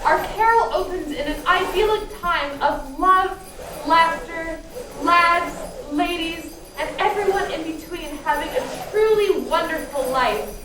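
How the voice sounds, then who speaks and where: A woman's voice: extremely high pitch, unhurried. A woman narrating a radio play from a script, theatrical and emphatic with a sardonic edge. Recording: echoey room, distant microphone, background noise.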